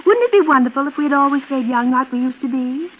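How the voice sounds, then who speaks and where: A woman's voice: high-pitched, lively intonation, fast talker. An actress playing a fragile, childlike woman nearing forty in a 1940s radio suspense drama, timid, wistful and apologetic. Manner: timid, rambling, apologetic, girlish. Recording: muffled, noisy vintage radio recording.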